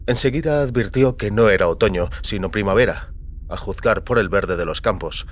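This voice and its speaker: A man's voice: fairly low pitch, normal speed. A man narrating scripted audio fiction as a storyteller.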